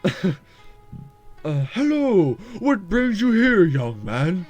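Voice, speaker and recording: A man acts out a friendly old shrine priest from a story game. The voice is pushed high and loud, swooping with drawn-out emphasis, over soft music. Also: sing-song, exaggerated voice. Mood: welcoming, surprised. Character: friendly older male shrine priest, devoted caretaker. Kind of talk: character voice in a visual novel read-through. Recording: music behind the voice.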